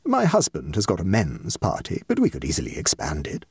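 A man voicing a shrewd, tactful society lady with a measured, dry and precise manner in a comic story. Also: sits low, wildly swooping pitch, a little mellow, husky, dry recording, heavily acted. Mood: reflective. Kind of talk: comic short story reading.

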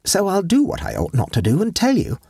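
A mischievous sixteen-year-old girl, as a male reader puts her on, airy and teasing, lifted far above his own register. Her husky voice swoops with lively, sing-song pitch. The audiobook sound is dry and close. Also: brisk, no room sound, heavily acted.

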